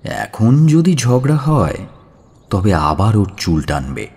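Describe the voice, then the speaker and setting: A man narrating an audio story in a measured, expressive and dramatic storytelling style. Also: lowish voice, slightly rough. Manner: measured, expressive, drawn-out phrasing.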